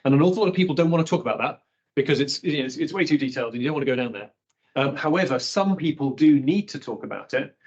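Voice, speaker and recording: A man's voice: mid-range voice, breathy edge, muffled recording. A man answering on a panel discussion, thinking aloud and repeating words as he builds each point.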